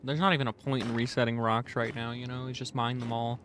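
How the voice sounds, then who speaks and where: A man's voice: mid-range voice, little pitch movement, fast talker, fairly bright tone, faint music behind. A man livestreaming a game, chatting casually in a rambling, slangy way. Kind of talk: casual gaming stream chat. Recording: clearly noisy background with clicks.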